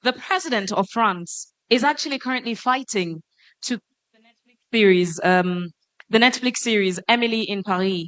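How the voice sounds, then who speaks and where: A woman moderating a conference panel, posing questions to the panelists in a lively way. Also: medium pitch, dry recording, low-quality recording. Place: conference stage.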